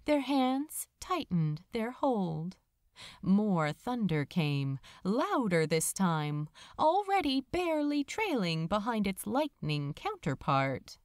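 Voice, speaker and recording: A woman reading a scripted story aloud as narrator, dramatic and measured, lingering over her phrases. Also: medium pitch, big pitch swings, dry recording, clean studio sound. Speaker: audiobook narrator of fan fiction.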